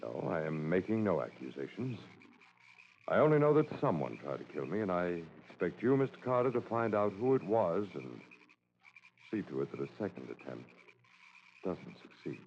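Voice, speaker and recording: A man's voice: low-pitched, very animated, moderate pace, vocal fry, exaggerated voice. An actor in an old radio drama playing an older married man, grave, suspicious and used to giving orders. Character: grave older husband, suspicious, authoritative expedition leader. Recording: muffled vintage radio recording, faint noise.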